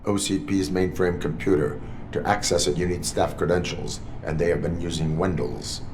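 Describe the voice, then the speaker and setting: An actor playing a stoic male cyborg police officer, speaking in flat, clipped, formal lines in a video game cutscene. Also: low-pitched, moderate pace, background noise. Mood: stern.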